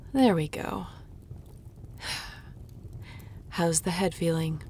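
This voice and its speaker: A woman's voice: on the low side, darkish tone, slight background noise. A woman playing a grumpy, tough shapeshifter guard who cares begrudgingly, speaking curtly and with weary sarcasm in an intimate audio roleplay. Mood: gruff, irritated concern. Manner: curt, sarcastic, dry, weary.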